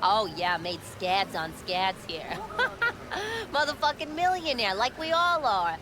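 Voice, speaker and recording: An actress playing a sarcastic, foul-mouthed market food vendor in a video game, blunt and slangy. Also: female voice, a little high, sing-song, slow, noisy background. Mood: mocking. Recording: music behind the voice.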